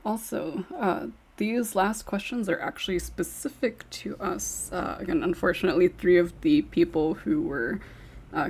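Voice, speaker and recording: A woman's voice: fairly low pitch, vocal fry, darkish tone, breathy edge. A woman hosting a podcast in casual panel chat, reading out questions and giving her own answers. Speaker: podcast host and script writer.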